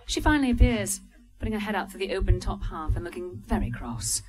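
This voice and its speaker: A woman reading stage directions at a live script reading, brisk and amused, with the odd slip. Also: medium pitch, big pitch swings, music in the background. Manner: brisk, amused, occasional slips.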